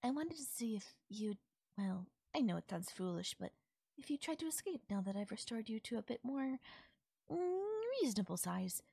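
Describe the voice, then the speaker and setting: A woman's voice: on the high side, sing-song, normal speed, creaky, mellow, slightly rough, no room sound, full character voice. A woman playing a possessive, doting fairy captor in an intimate ASMR audio roleplay, speaking sweetly and lilting, sometimes nearly whispering. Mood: delighted, adoring.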